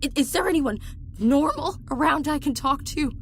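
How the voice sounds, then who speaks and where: An actress playing an injured, bitter enemy soldier in an audio drama, defiant but rattled, halting and sardonic. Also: female voice, high voice, lively intonation, a little hiss or hum. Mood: shaken, incredulous.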